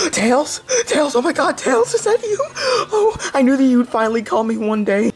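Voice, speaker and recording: A man's voice: very high voice, moderate pace, faint music behind. A man voicing an obsessive, lovesick female cat, overdramatic and gushing, in an amateur plush comedy skit.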